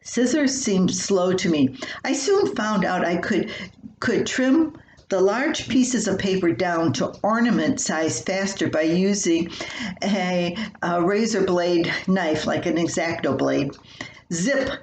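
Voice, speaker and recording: An older woman reading her own humorous personal column aloud in a folksy, expressive storytelling way. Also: fairly low pitch, slow. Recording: roomy echo with faint hiss.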